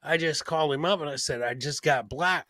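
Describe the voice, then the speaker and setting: A brash male podcast host in casual live-stream banter. His voice sits a little high for a man and is recorded dry and close, with frequent swearing in his delivery. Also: a little fast, pushed and bright, no room sound. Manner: brash, heavily profane.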